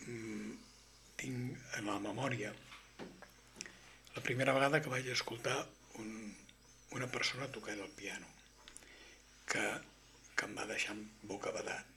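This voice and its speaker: A man interviewing a singer, chatty and lively, joking with his guest. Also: fairly low pitch, measured pace, gravelly, pauses often, a little hiss or hum.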